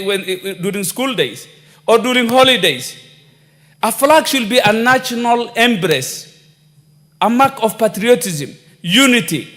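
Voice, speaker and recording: A Kenyan man with a notably high voice makes an impassioned speech to parliament, piling up rhetorical questions and turning back to the Speaker again and again. He speaks into a desk microphone, and the chamber's long reverb trails behind every phrase. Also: sharp tone. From northern Kenya. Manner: rhetorical, repeating appeals to the Speaker. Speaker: member of parliament.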